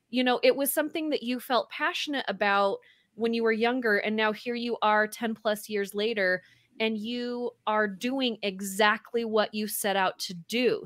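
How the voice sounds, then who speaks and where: A woman in her thirties hosts an interview through a headset on a video call. She is chatty and upbeat, her voice sits a bit high, and the sound is close and dry. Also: a bit bright, dry recording. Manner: chatty, upbeat, laughs often. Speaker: podcast host. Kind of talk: casual interview chat.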